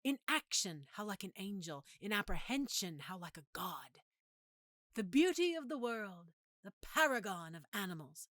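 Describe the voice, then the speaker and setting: A melancholy, brooding young prince, performed by a woman, speaking with theatrical pauses. The delivery is animated and bright, recorded dry as a stage soliloquy. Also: mid-range voice, dry recording. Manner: theatrical, measured, emphatic pauses. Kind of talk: Shakespearean monologue acting.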